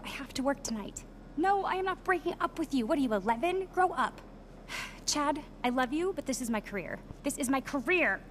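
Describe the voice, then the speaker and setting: A woman's voice: a little high, slight background noise. An actress playing a harried young female production assistant with a wry, sarcastic edge. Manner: frazzled, wry, sarcastic asides.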